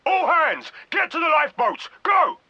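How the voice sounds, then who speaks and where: A grave, commanding military vice admiral for a video game. His voice is intensely forceful and edged, with clipped, authoritative orders. It comes dry and close, through a slightly lo-fi radio filter. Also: extremely high pitch, very animated, normal speed, no room sound, exaggerated voice. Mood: urgent, shouting. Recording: dull band-limited radio sound.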